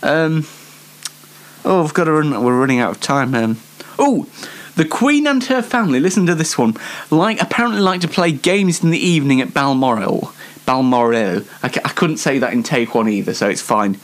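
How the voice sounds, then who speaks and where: A man's voice: on the high side, animated, normal speed. A British man hosting an unscripted comic news show in a rambling, self-mocking way. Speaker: host of a comedy news show.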